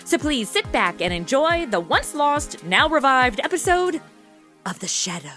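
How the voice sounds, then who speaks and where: Animated woman podcast host. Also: high voice, unhurried, soft background music. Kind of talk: scripted podcast introduction.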